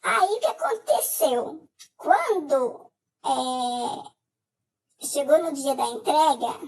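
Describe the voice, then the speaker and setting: High-voiced Brazilian woman, halting speech. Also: animated, darkish tone, recorded from a distance. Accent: Brazilian Portuguese. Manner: halting, many filler hesitations. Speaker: scam victim giving testimony. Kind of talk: personal testimony to camera.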